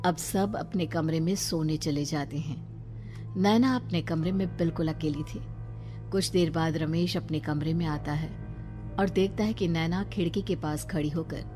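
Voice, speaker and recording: A woman giving scripted narration for an animated horror story, with music underneath. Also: deep voice, a little slow.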